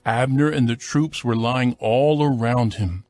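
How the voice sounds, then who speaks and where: A man narrating a dramatized scripture reading in a measured, formal, scripted style. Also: medium pitch, darkish tone, faint music behind.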